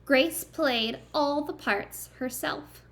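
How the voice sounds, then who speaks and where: A woman's voice: sits high, slow, fairly bright tone. A woman reading a picture book aloud to young children like a teacher, expressively, with simple chatty asides and questions to her listeners.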